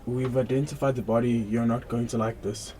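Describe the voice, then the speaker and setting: A sombre male police colleague played by a young man in a radio drama. His mid-pitched voice stays flat, with clear background noise around it. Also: a little fast, natural voice. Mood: grave. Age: young adult. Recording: noisy background ambience.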